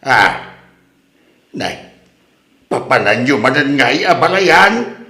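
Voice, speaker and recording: A man's voice: medium pitch, sing-song, takes it slowly, pushed and bright, echoey room, exaggerated voice. A man with an Ilocano accent playing a flustered, reluctant husband in a comedy drama. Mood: flustered, grumbling. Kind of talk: Ilocano comedy radio-style drama acting.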